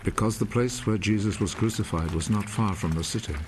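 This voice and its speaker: A man narrating scripture for a film in a measured, solemn manner. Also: on the low side, background noise.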